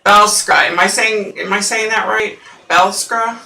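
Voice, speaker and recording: A woman's voice: medium pitch, steady tone, measured pace, vocal fry, pushed and bright. A woman with a scratchy voice, a psychic host chatting in a rambling way with viewers on a home livestream, full of fillers. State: scratchy, husky voice. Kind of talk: casual live-stream chat with viewers. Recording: roomy, distant from the mic.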